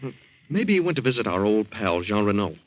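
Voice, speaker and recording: Young guy calming someone down, kinda evasive, low swoopy voice, 40s radio drama, dull old recording. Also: fairly quick. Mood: musing. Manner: placating, hesitant, theatrical. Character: smooth-talking young male friend, soothing yet evasive. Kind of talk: 1940s radio drama acting.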